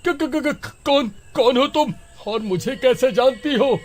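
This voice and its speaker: Man doing a high-pitched, whining young beggar. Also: slow pace, music in the background. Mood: frightened stammer. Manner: complaining, mocking, exaggerated. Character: whining, cocky young male beggar cursing his luck.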